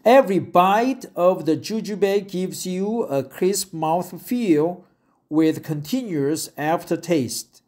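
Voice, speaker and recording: A man slowly reads an article aloud, repeating phrases and stumbling over words. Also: sits high, animated, darkish tone. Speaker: English learner reading aloud. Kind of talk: reading a web article aloud with asides.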